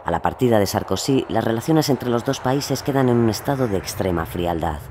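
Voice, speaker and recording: A man's voice: on the low side, lively intonation, brisk, darkish tone, slight background noise. A man narrating a scripted documentary drama.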